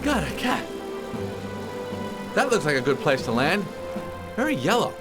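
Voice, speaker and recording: A man's voice: high-pitched, exaggerated voice. A man voicing an eager, wry young cartoon bee in a video game, with music behind him. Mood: awed, excited. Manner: chatty, wry, excitable. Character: young adult male cartoon bee, eager wide-eyed rookie. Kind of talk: animated video game cutscene acting.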